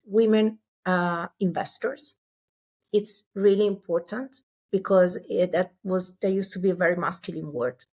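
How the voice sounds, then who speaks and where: A woman with an Italian accent, a startup CEO talking as a guest in an interview, pausing often and searching for English words. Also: fairly low pitch, steady tone, darkish tone, husky. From Italy. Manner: halting, many filler sounds, searching for English words. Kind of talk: conversational interview.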